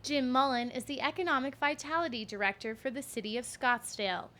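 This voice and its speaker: High-pitched young female news reporter. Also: brisk, bright, forceful tone. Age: 20s. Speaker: student television news reporter. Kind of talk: scripted news report narration.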